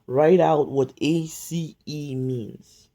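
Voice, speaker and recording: A woman tutoring pharmacy students, calmly drilling drug names in a slow question-and-pause quiz, recorded on a phone at home. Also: low voice. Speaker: pharmacy tech study tutor. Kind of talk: slow flashcard-style quiz review. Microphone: phone camera filming whiteboard.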